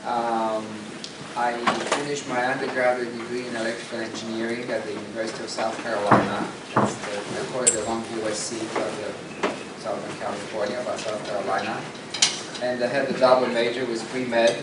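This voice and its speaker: A man's voice: mid-range voice, flat delivery, a little fast, heavy background noise. Romanian-accented man lecturing in a roomy hall. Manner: rambling, with many fillers and self-corrections. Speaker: eye surgeon giving a lecture. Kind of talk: informal lecture to colleagues. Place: hotel conference room, indoors. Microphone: far camera. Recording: roomy, distant, loud dinner clatter. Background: cutlery and dishes clattering.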